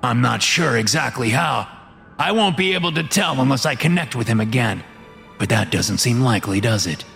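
Guy doing a creepy fat boss villain for a horror story, gravelly, measured, coldly persuasive, music under. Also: mid-range voice, animated, a bit bright. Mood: coolly explaining. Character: fat, sinister male boss, self-justifying and controlling. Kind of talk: audio horror story character.

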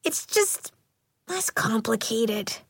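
A woman voicing a shy, sad, earnest schoolgirl in an audiobook, soft-spoken and halting as she repeats her words. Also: on the high side, animated, vocal fry, breathy edge, no room sound, clean studio sound, exaggerated voice.

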